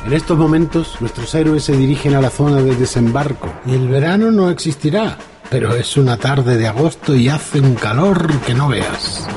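Male radio narrator, medium pitch, tongue-in-cheek drama over clearly audible music. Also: moderate pace. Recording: music bed behind the voice.

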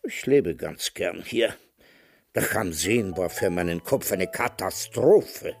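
An actor in a radio drama playing an old, retired emigrant veteran who speaks in a measured, wry, reminiscing way. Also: male voice, sits low, expressive, creaky, a little mellow, heavily acted. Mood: wistful, matter-of-fact. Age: older. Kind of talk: German radio drama acting.

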